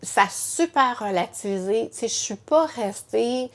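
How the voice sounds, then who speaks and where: Halting middle-aged Quebec woman. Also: fairly high pitch, animated. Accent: Quebec French. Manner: halting, repeats words, many fillers. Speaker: mother telling her story. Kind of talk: emotional personal testimony in conversation.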